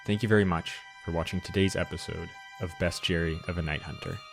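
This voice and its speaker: Gravelly, lowish-voiced man reading an outro. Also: fast. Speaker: presenter reading closing credits. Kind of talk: scripted outro narration. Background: music.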